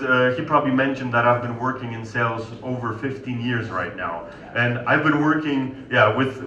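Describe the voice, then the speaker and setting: A man with a Polish accent speaks to a live audience in a casual, conversational way and often tags his points with a quick question to the room. His voice is bright and sharp. The recording is roomy and echoey, with the mic set some distance from him. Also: mid-range voice, lo-fi sound. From Poland. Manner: conversational, keeps asking the audience "right?". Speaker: sales consultant giving a talk. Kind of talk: conference talk to an audience.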